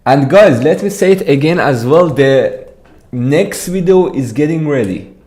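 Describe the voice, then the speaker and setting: A man's voice: on the high side, lively intonation, measured pace, darkish tone. A young man with an Iranian accent, chatting casually on a livestream, often repeating words as he answers viewers. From Iran. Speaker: streamer.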